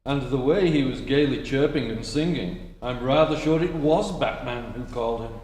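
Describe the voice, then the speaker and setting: An actor playing a formal, deferential and chatty butler, in old radio-drama style. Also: male voice, moderate pace, a little mellow, lightly acted. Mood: polite, chatty. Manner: formal, polite, lilting. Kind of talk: 1940s radio acting. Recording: echoey hall with rumbling noise.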